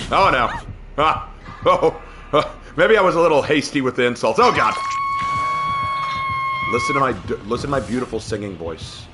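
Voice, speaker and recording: Slightly hoarse man streaming a game. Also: mid-range voice, lively intonation, unhurried, long pauses, over music. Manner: talkative, opinionated, laughs at the game. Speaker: livestreamer. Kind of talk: casual gameplay commentary.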